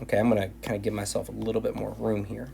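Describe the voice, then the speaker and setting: A man teaching linear algebra in a recorded video lecture, his voice tired and going hoarse, often restarting and repeating phrases. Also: on the low side, completely flat delivery, racing, warm tone, slight background noise. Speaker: math teacher.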